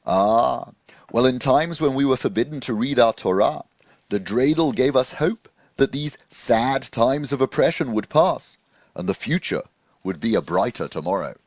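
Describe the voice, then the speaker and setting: A patient storybook rabbi, played by a man, explains with sympathy in a lifted voice. His delivery rises and falls a great deal as he gently teaches a young listener. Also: mid-range voice, expressive, normal speed, no room sound, muffled recording, pitched above the performer's own voice. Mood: sympathetic, patient.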